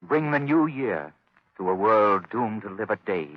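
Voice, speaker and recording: Grave old time radio crime fighter, gentleman type, calm n commanding, dramatic, dull vintage recording. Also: lowish voice, measured pace. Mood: grim, resolute. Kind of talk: 1930s radio drama acting. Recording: muffled 1930s radio broadcast recording.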